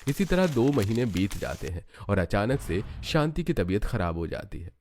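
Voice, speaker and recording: Male narrator for scripted animated tales, lower register, slight background noise. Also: moderate pace. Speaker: story narrator. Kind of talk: scripted cartoon story narration.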